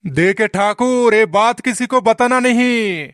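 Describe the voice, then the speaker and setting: A man's voice: high voice, slow, fairly bright tone, smooth, no echo, studio quality. A man voicing a swaggering, menacing but foolish bandit boss in a comic cartoon, drawling and taunting in an exaggerated way. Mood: pleading.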